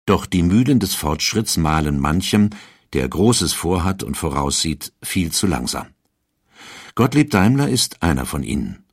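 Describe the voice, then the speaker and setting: A man narrating a scripted historical documentary in a measured storytelling manner. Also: sits low, sing-song, breathy edge, dry recording, studio quality.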